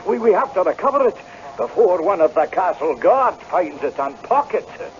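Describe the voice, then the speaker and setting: An actor playing an earnest, easily flustered young Scottish tenant in a radio drama, with a stammering, agitated way of telling things. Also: male voice, sits high, sing-song, moderate pace. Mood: anxious insistence. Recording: dull, noisy old radio recording.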